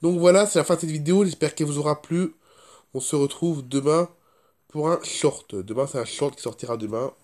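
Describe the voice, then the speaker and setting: A man's voice: fairly high pitch, a little fast, darkish tone. Congested man vlogging with a cold. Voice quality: stuffy-nosed voice. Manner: rambling, repeats himself, frequent throat clearing. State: congested with a head cold, sore throat. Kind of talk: casual first-person vlog chat.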